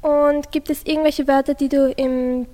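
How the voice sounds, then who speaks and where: A woman's voice: high-pitched, little pitch movement, moderate pace, warm tone, in a large hall. A teenage girl, hesitantly asking questions on a school radio show. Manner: hesitant, with fillers. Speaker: student interviewer.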